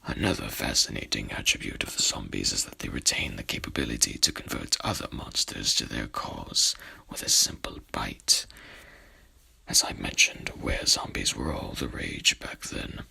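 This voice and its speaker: A man playing a courtly, haughty headless horseman in a whispered ASMR roleplay, speaking with pompous, archaic formality in a breathy, gravelly voice. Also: extremely low pitch, expressive, normal speed, pushed and bright, full character voice. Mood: rambling, calm.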